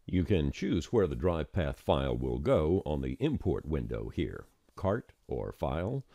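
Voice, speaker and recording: A man narrating an instructional software screencast in a plain-spoken, explanatory manner. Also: a bass voice, very animated, unhurried, creaky, no echo, studio quality.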